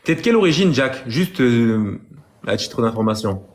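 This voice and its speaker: A man's voice: medium pitch, sing-song, normal speed. A man taking part in a casual live debate, speaking informally and earnestly and calling the others brother. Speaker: live stream participant, believer.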